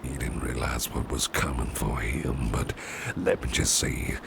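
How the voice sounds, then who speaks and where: A man playing a doting, protective businessman boss with a sly edge in an ASMR roleplay, gravelly and breathy at times, with genteel, old-fashioned phrasing. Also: rumbling low, fairly even intonation, a little slow, noisy background, heavily acted. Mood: slyly menacing. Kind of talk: ASMR roleplay, soft personal attention.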